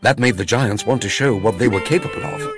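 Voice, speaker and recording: A man tells a children's fairy tale with theatrical expression. His mid-pitched voice rises and falls widely, and music plays clearly behind him. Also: sing-song, brisk. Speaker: storyteller.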